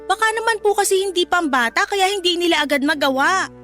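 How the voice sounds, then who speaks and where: A woman's voice: very high-pitched, quick pace, sharp tone, faint music behind. A woman playing an earnest, protective mother in a Filipino radio drama, polite but argumentative and pleading. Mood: protective, aggrieved. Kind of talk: Tagalog radio drama dialogue.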